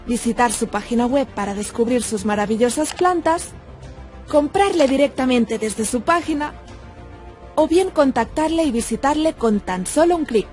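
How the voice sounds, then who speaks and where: A woman reads a scripted promotional narration with a smooth, salesy delivery. Her voice sits high, and soft music plays underneath. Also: normal speed.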